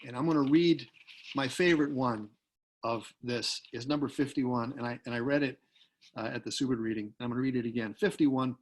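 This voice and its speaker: A man speaking as a poet at a literary tribute over a video call, talking and reading aloud in an unhurried, deliberate way. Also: medium pitch, fairly even intonation, rough recording. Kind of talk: literary talk with poetry readings.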